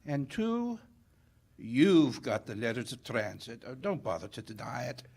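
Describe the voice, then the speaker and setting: An actor playing a sly, corrupt police official, suave and deliberating, in 1940s radio theater style. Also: male voice, medium pitch, sing-song, a little slow, vocal fry, darkish tone, breathy edge, full character voice. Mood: sly warning.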